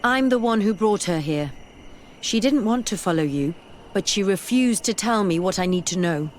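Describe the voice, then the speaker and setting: An actress plays a curious, skeptical scholar in a fantasy game. She is medium-pitched, thoughtful and determined, with clear ambient noise behind her. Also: lively intonation, moderate pace, natural voice. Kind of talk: video game dialogue acting.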